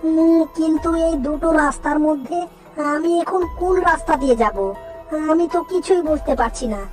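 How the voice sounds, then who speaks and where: A woman's voice: high-pitched, a little mellow, soft background music, close to an everyday voice. A woman voicing a poor young village boy who talks earnestly and anxiously. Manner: earnest, anxious, quick questions.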